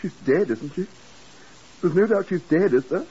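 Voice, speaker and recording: A self-pitying hotel proprietor in an old radio drama. He gobbles and laments in a high-pitched, badly husky male voice. The recording is a dull vintage broadcast. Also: lively intonation, moderate pace, warm tone, full character voice. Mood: flustered. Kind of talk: 1950s radio drama acting.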